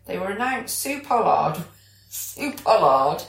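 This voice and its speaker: A woman's voice: mid-range voice, very animated, takes it slowly, creaky. A British woman co-hosting a podcast, chatting casually and enthusiastically. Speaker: podcast co-host. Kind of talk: casual chat. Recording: echoey room.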